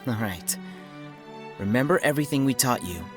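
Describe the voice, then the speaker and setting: A man's voice: mid-range voice, animated, normal speed, lightly acted. Male combat instructor actor, audio drama. Mid-range, caring and wry, music behind. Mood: encouraging. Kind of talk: amateur audio drama acting.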